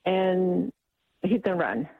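A woman from El Salvador, calling in to a radio talk show. She speaks in a halting, indignant way. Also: fairly low pitch, animated, slightly rough. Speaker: caller. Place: calling in by phone. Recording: tinny phone line.

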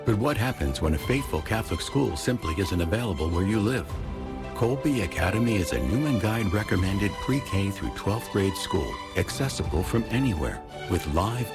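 A man's voice: lowish voice, gravelly. A man reading a radio advertisement in a persuasive manner. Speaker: ad announcer.

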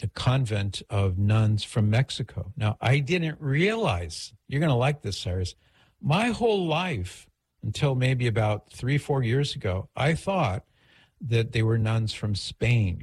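A man's voice: lowish voice, animated, unhurried, a little mellow, breathy edge. A middle-aged man from the United States hosting a talk radio show in a casual, conversational way, with rambling asides as he reads and reminisces. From United States, Los Angeles area. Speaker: radio call-in show host. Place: radio studio.

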